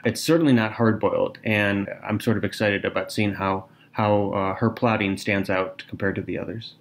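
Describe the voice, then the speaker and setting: A man co-hosting a podcast, chatting casually and thoughtfully. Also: on the low side. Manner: conversational, thoughtful, with fillers. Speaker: podcast co-host. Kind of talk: casual chat.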